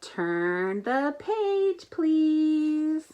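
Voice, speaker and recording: A woman's voice: high voice, big pitch swings, drawn-out words, muffled recording, voice pushed up, exaggerated voice. A woman voicing an eager, mischievous little male child monster from a storybook, childlike and sing-song. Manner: childlike, sing-song, talks about self in third person.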